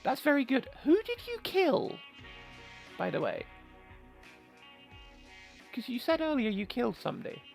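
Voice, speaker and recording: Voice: high-pitched, huge pitch swings, measured pace, creaky, long pauses, a little mellow, faint music behind. Someone reading a visual novel aloud and breaking in with sarcastic, sweary commentary. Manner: sarcastic, sweary, mocking interjections. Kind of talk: reading game text with joking commentary.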